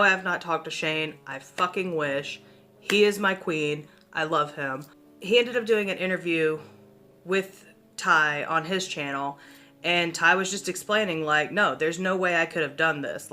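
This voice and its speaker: A young woman talks to her viewers like a chatty YouTube host. Her voice is slightly low for a woman, with a bright, forward edge. She keeps it informal, leaning hard on key words and swearing casually, over faint background music. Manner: informal, swears casually, emphatic word repetition. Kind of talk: casual chatty commentary to viewers on internet mysteries.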